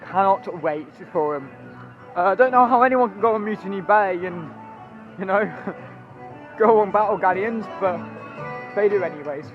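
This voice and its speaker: A hoarse young man, chatty and rambling as he walks through a theme park with his phone. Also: sits high, normal speed, pauses often, muffled recording, faint music behind. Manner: chatty, rambling, excitable, many ums. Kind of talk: walking vlog commentary.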